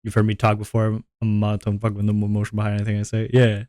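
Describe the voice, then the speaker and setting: A man with a deep voice, streaming and chatting casually to his viewers in a rambling, self-reflective way, often repeating his words. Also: completely flat delivery, racing, mellow, no echo, studio quality.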